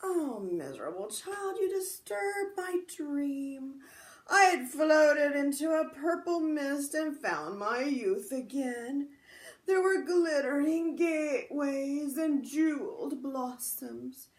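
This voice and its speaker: A drowsy old man speaks slowly, as if half dreaming. The voice stays soft and unhurried. A woman voices him in a storybook reading. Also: high-pitched, lively intonation, roomy sound, pitched above the performer's own voice. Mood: dreamy. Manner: slow, drowsy.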